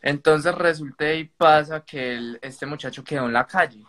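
A man's voice: on the high side, normal speed, a bit bright. Colombian man explaining things in casual conversation. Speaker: caller on a live stream. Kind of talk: casual spoken account.

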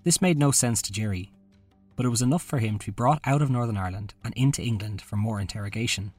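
A man's voice: lowish voice, quick pace, darkish tone, soft background music. A man hosting a podcast, reading a scripted true-crime narration. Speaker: podcast host.

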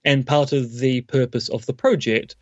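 A man's voice: medium pitch, steady tone, normal speed, no room sound, dull audio. A man, a philosophy academic, explaining his views at length in an interview with dry humour. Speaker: guest academic, philosophy professor.

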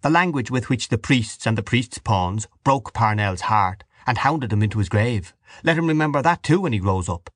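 A man's voice: medium pitch, animated, normal speed, hardly any pauses, fairly bright tone, no room sound, studio quality. A man voicing a fierce, proud dinner guest in an audiobook novel, indignant and emphatic. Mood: scornful.

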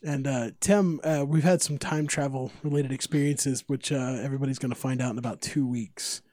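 A man's voice: medium pitch, fairly even intonation, a little fast, a little mellow, no room sound, clean studio sound. An American man hosting a casual interview in a playful, teasing way. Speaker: podcast host.

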